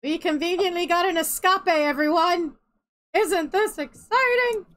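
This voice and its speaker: A woman's voice: very high voice, measured pace, a bit bright. A woman streaming a game and ranting sarcastically with jokes about the plot. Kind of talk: sarcastic gameplay commentary.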